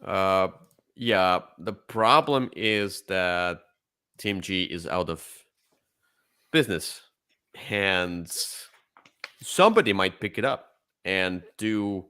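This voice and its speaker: A man co-hosting a casual board game discussion, enthusiastic, with halting, repetitive phrasing. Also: low voice, animated, sharp tone, clean tone. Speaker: co-host.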